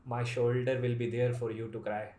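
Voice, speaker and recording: A man's voice: medium pitch, level tone, moderate pace. A man from Pakistan, hosting casual flirty video chats with strangers and teasing them with cheesy pickup lines. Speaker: YouTuber host chatting with strangers on video chat. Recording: echoey room with loud background music.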